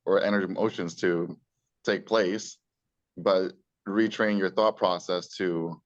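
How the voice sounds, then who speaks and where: Deep flat man on dull lo-fi audio. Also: dry recording. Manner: trailing, halting phrasing. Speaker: session participant.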